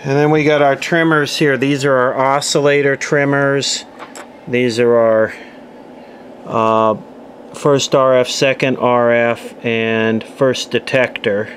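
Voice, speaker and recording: A man's voice: medium pitch, little pitch movement, slow pace, a bit bright, a little hiss or hum. A man casually demonstrating a radio repair at his workbench, explaining each step plainly as he works. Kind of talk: casual hands-on workshop demo.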